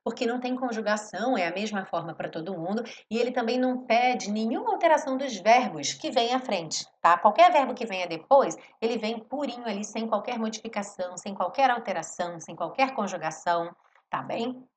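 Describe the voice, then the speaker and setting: A woman teaching an English lesson in a live stream, explaining song lyrics and slowing down to read English phrases with emphasis. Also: a little high, animated, slight background noise. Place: home studio, live stream.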